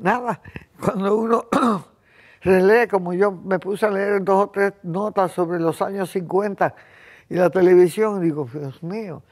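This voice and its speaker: Older Cuban woman host in her 80s, passionate and rambling, keeps clearing her throat. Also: medium pitch, measured pace, a little mellow. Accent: Cuban Spanish. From Cuba. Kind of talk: casual conversation.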